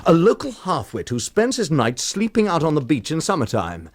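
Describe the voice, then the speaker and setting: Radio drama: cocky drunken cad and blackmailer, taunting, oily familiarity, very lively pitch movement. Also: male voice, normal speed. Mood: sneering, defiant. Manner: taunting, familiar, theatrically insinuating.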